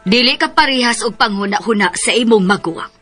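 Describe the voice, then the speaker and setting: A woman's voice: a little high, expressive, a little fast, pushed and bright, slightly rough, full character voice. A woman playing a worried, protective mother in a radio drama, fretful and scolding, with a Cebuano Filipino accent. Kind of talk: Philippine radio drama acting. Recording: music behind the voice.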